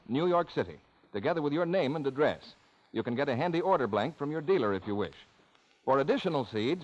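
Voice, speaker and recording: Lively vintage male radio announcer. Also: on the high side. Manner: formal, clearly instructing. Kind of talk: 1940s radio advertising announcement. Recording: dull old radio recording.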